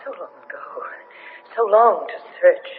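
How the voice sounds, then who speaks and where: An actor playing a disembodied alien survivor in a 1970s radio drama, speaking in slow, solemn, insistent phrases. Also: male voice, high-pitched, expressive. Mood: mournful, solemn. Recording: tinny, noisy old radio broadcast.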